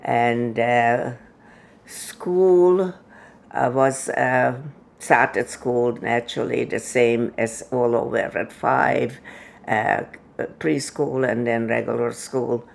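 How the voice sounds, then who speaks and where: A woman in her nineties, from Hungary, telling her life story slowly in an interview, with many pauses and fillers. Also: very deep voice, fairly even intonation, vocal fry. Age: 90s. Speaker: interview guest. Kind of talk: oral history interview.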